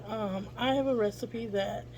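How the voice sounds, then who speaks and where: Slow, scratchy-voiced woman vlogging. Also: medium pitch, darkish tone. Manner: chatty, frequent ums and throat clearing. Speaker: family vlogger. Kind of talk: casual cooking vlog. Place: home kitchen with dryer running. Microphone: phone camera, later on a mount.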